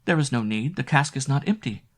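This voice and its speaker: A man reading a storybook voicing a timid young female servant who speaks softly and hesitantly. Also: medium pitch, level tone, fast, pitched above the performer's own voice, close to an everyday voice. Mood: stammering. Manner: soft, hesitant.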